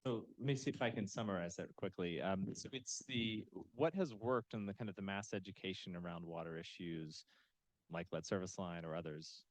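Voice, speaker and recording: A man moderating a panel, easygoing and relaxed as he passes questions along. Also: sits low, moderate pace, no room sound.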